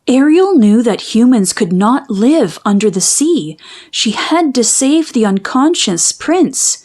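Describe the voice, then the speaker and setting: A woman reading a children's story aloud in expressive, dramatic, carefully phrased narration. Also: fairly high pitch, slow pace. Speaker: storybook reader.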